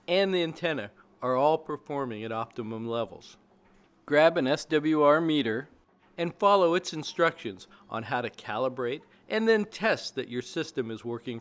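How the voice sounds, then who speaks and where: A man's voice: medium pitch, fairly bright tone. A man giving scripted how-to narration as the narrator of a DIY video, explaining plainly step by step.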